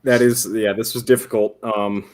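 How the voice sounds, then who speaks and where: A man with a fairly low voice acts as guest judge on a comedy game show. He speaks casually, joking as he hands out scores. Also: flat delivery, normal speed, darkish tone.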